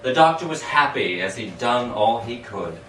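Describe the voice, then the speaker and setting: A man reads storytelling verse aloud in a theatrical, sing-song manner, his pitch moving up and down dramatically. He sounds some way off from the microphone, with the echo of the room around his voice. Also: moderate pace. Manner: expressive, dramatic, sing-song rhyming delivery. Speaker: amateur poet reading their own poem. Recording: echoey room, distant mic, background hiss.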